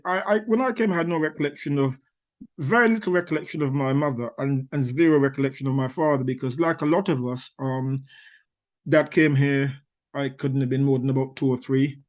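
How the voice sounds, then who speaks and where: A man's voice: fairly high pitch, normal speed. A man in his sixties, born in Barbados, answering interview questions as an author, rambling with many restarts and small chuckles. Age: 60s.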